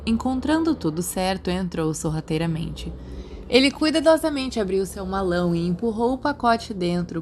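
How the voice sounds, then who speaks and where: A woman with a Brazilian accent reading a story as a scripted narration. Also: on the low side. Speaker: audiobook narrator.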